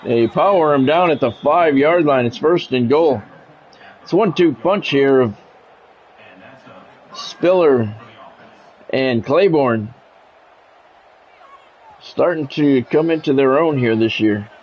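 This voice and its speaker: A man's voice: medium pitch, slow, long pauses. A man livestreaming a game, mixing casual, opinionated chat with sports play-by-play commentary. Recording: dull lo-fi audio, music behind. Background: music.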